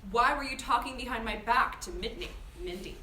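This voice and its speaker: A woman playing a jealous, combative, self-absorbed high school girl, snappy and indignant, at a table read in an echoey room. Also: brisk, pushed and bright. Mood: angry. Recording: echoing room, faint noise.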